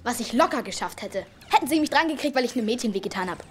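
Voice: medium pitch, animated, rapid-fire, a bit bright, a little hiss or hum, close to an everyday voice. A performer playing a scheming, self-important middle-school boy in a German audio drama, earnest and cocksure, turning whiny when flustered.

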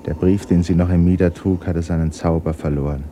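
A man narrating a literary radio drama in a solemn, measured storytelling manner. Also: low voice, steady tone, warm tone, a little hiss or hum.

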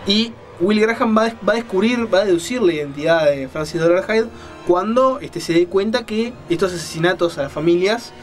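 Male radio host, higher-than-average pitch, explanatory, conversational, checking in often. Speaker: radio show host reviewing books and films. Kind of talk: conversational book and film commentary.

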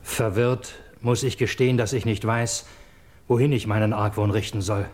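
An actor playing a wary, suspicious royal attendant, speaking formal verse earnestly in a husky voice in a classical radio drama. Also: male voice, lowish voice, steady tone, moderate pace, darkish tone, natural voice. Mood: troubled suspicion.

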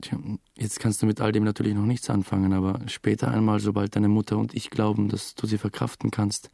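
A low, creaky young storyteller. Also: male voice, flat delivery, a little fast, mellow, dry recording, studio quality. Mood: tender, reflective. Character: young theatre photographer, new father, earnest storyteller. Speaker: narrator and lead character. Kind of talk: first-person radio drama narration.

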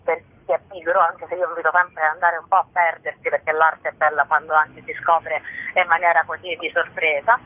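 A woman's voice: deep voice, little pitch movement, a little fast, dry recording. Woman on a narrow phone line. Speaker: festival organiser, interview guest. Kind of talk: informal radio phone interview.

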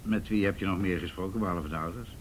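Male police officer played by an actor, lowish voice, matter-of-fact, low-key, radio drama, noisy background. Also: fast talker, natural voice. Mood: businesslike. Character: businesslike male police officer questioning by telephone.

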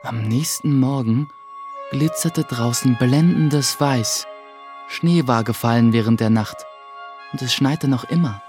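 An earnest young apprentice in sorcery, played by a man, narrates in the first person for a radio drama. His voice is measured and mid-pitched, with music clearly playing behind it. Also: a little mellow, lightly acted. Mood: narrating, measured.

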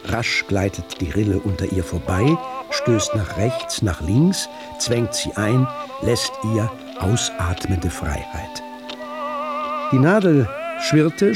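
Male narrator, medium pitch, scripted literary storytelling, vivid, precise, prominent music behind.

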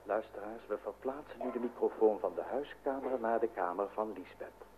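A man's voice: fairly low pitch, moderate pace. A man giving a formal, scripted announcement in a radio play. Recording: tinny, faintly noisy old radio recording.

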